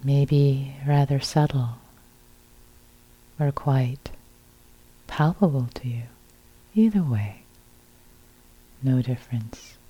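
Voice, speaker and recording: A woman meditation teacher leading a guided meditation in a soft, breathy, gentle voice, with short phrases set between long silences. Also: a bass voice, takes it slowly, pauses often, muffled recording.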